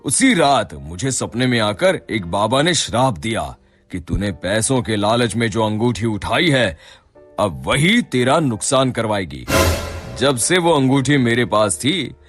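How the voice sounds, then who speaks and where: A man playing a shrewd, wealthy shop boss in a Hindi moral-story cartoon, with a measured, theatrical way of talking. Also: medium pitch, sing-song, fairly bright tone, faint music behind.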